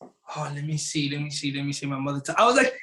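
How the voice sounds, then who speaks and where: A man's voice: fairly high pitch, expressive, fast talker, noisy background. A man from South Africa, a Tswana speaker, telling a motivational story earnestly as an interview guest. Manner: earnest, repeats words, rises to emphatic bursts.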